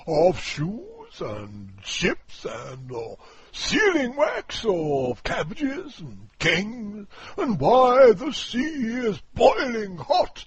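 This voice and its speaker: A man voicing a greedy, pompous old walrus, declaiming theatrically and shouting his lines in a storybook poem reading. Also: extremely high pitch, pitched above the performer's own voice, heavily acted.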